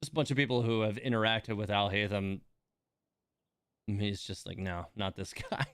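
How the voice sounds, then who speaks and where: A man livestreaming a game, musing aloud in a wry, halting, casual way. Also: lowish voice, fairly even intonation, fairly bright tone, clean tone, no room sound, clean studio sound. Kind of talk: casual gaming stream commentary.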